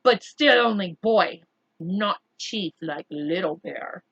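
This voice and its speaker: A woman storyteller plays a tiny, proud chief from a children's storybook. He speaks slowly in terse broken phrases, with dignified, demanding self-importance and a bright, firm tone. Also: mid-range voice, lively intonation, slightly rough, lo-fi sound, full character voice. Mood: proud, teasing.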